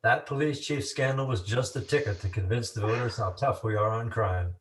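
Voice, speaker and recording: A man playing a flattering political aide in a campy noir radio play. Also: on the low side, brisk, natural voice. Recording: noisy live stage recording.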